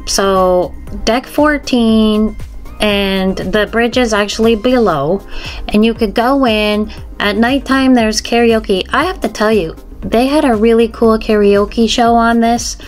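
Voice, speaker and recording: A woman narrating a casual guided tour as a travel vlogger, chatting to viewers with tips and questions. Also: fairly high pitch.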